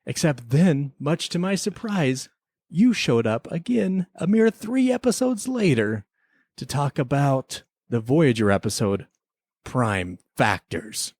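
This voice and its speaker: A man's voice: fairly high pitch, sing-song, slow pace, darkish tone, slightly rough, no echo, studio quality. A man hosting a podcast as an investigator, giving a semi-scripted comedic review in a conversational, mock-official manner. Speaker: podcast host playing an investigator.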